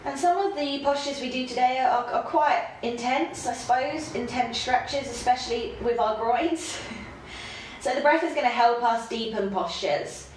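Young woman, yoga teacher, stuffy nose, very echoey room, faint hiss. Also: a little high. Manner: chatty, laughing asides, then slow calm cues. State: stuffy nose from hay fever. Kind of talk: casual yoga class instruction. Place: home room with yoga mat. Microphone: camera across the room.

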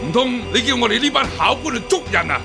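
A high-pitched, imperious imperial examiner. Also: male voice, animated, fast, vocal fry, music in the background, heavily acted. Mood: scornful. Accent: Hong Kong Cantonese. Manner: imperious, scolding. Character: domineering, scornful senior imperial examiner, an older official. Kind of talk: radio drama acting.

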